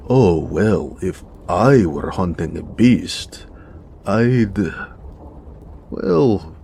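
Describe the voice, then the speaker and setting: A man playing a big, teasing, doting orc blacksmith with a gravelly voice in a romantic audio roleplay. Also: deep voice, animated, very slow, dark tone, slight background noise, full character voice. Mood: playful teasing. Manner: playful, flirtatious, frequent chuckling.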